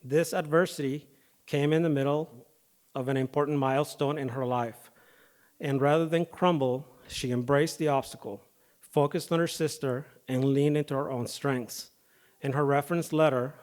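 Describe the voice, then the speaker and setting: Firefighter giving a speech at the podium. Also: male voice, medium pitch. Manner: hesitant start with fillers, then steady reading of a prepared speech. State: voice affected by recent dental work and illness. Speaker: firefighter paramedic and foundation president. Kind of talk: prepared speech at an awards podium. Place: awards ceremony hall. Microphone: podium microphone.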